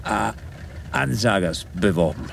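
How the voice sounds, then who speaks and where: A dim captive who forces out stock phrases through a heavy stammer. The delivery is very slow and halting, with a creaky, medium-pitched voice. It sounds like a radio comedy on a slightly noisy cassette. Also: male voice, lively intonation, full character voice. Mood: struggling. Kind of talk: German radio comedy acting.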